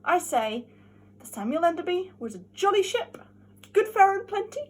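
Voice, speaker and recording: A woman giving an amateur dramatic reading of a classic novel, expressive and emphatic, drawing out some of her words. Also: very high-pitched, normal speed. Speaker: amateur reader.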